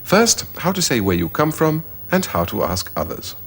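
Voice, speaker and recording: A man's voice: on the high side, big pitch swings, a little slow, slight background noise. A man presenting a language course, explaining phrases and modelling them for learners. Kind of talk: scripted teaching narration.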